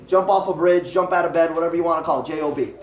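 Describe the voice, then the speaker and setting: Guy in his 20s hyping people up at a house meeting, high voice, echoey room, far from the mic. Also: dull audio. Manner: joking, fast and repetitive pep talk. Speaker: network marketing presenter. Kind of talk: motivational talk to a home meeting. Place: packed living room.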